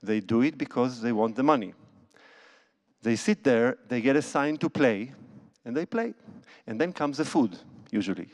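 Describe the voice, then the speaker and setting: A man's voice: mid-range voice, lively intonation, normal speed, a little mellow. A conductor and cellist talking to a concert audience from a church stage into a handheld microphone. He tells stories casually, with non-native phrasing and restarts.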